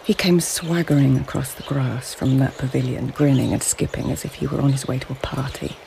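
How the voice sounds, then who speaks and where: A grave, sharp-tongued court lady with a creaky edge to her voice. She speaks seriously and firmly, in radio drama style, over faint outdoor ambience. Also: rumbling low, brisk, slight background noise, full character voice. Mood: intent, recounting. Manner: serious, firm. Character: grave, sharp-tongued noblewoman investigator of the Japanese imperial court. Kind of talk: radio drama acting and narration.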